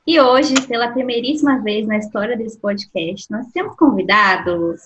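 A woman's voice: fairly high pitch, moderate pace, a bit bright, slightly rough, lo-fi sound. A woman hosting a podcast, chatty and friendly, introducing guests and asking questions. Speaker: podcast host.

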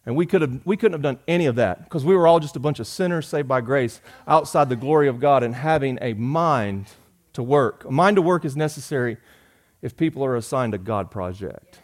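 A man, preaching a sermon in a folksy, joking way, rising loud and dropping soft. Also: medium pitch, animated, brisk. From Georgia. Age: 40s. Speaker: pastor.

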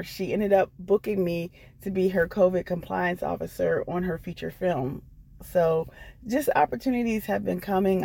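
A woman's voice: fairly low pitch, creaky, mellow. A woman with an American accent telling her own story off the cuff, speaking in an earnest, encouraging manner. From Washington D.C. area, United States. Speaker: guest storyteller, comedian and actress. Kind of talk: unscripted personal storytelling.

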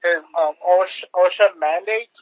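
A man co-hosting a podcast, chatting casually about politics in a halting way, piling up fillers and restarts. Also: high-pitched, highs cut off. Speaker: podcast co-host.

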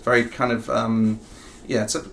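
A man's voice: mid-range voice, flat delivery, moderate pace, vocal fry, fairly bright tone, low-quality recording. A middle-aged man with a southern English accent, answering interview questions in a rambling way with many ums and self-corrections. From England. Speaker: professor and executive guest. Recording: faint ambient noise.

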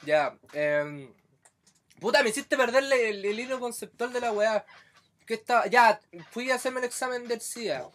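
Podcast co-host, Chilean man in his twenties, high bright tone, casual, quick to laugh. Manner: laughing, swearing, exasperated. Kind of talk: casual chat.

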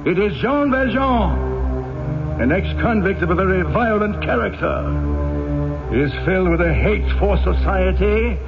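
A stern, cold police inspector in an old radio drama. His voice sits fairly high and moves slowly, each formal phrase pressed home without mercy. The recording is muffled and narrow. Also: big pitch swings, creaky, over music, exaggerated voice. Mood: cold, accusing. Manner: formal, deliberate, emphatic, cold. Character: stern, unforgiving male French police inspector.

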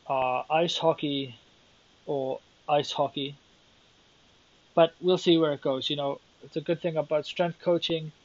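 A man's voice: on the high side, muffled recording. A young Welsh man hosting a solo podcast, thinking aloud and rambling with self-deprecating asides. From Wales. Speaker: podcast host.

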